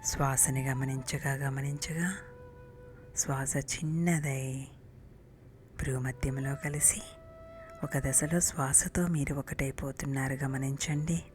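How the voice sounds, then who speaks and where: Very low-voiced woman guiding meditation in soft breaths. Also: fairly even intonation, slow, pauses often. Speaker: meditation guide. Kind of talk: guided meditation narration. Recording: music bed behind the voice. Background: meditation music.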